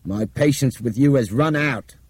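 A man performs a commanding Englishman in an audiobook thriller, urbane but grim and forceful. The carries clipped authority, pitched well above the narrator's own register, in a very dry recording. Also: expressive, normal speed, slightly rough, dry recording. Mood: angry, urgent. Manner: commanding, clipped urgency with polite turns of phrase.

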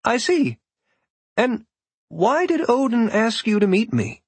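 A man voicing a young woman druid who asks quick, eager questions. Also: a little high, big pitch swings, slightly rough, dry recording, voice pushed up, exaggerated voice. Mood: curious.

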